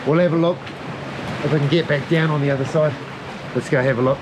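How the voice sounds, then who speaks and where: A man's voice: on the high side, steady tone, fast talker. A man, filming himself as he scrambles along sea cliffs and talks through each move, a little short of breath. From New Zealand. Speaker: outdoor adventure vlogger. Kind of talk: self-filmed commentary while climbing. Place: outdoors on a windy coastal cliff. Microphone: head-mounted action camera. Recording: wind noise on an action camera.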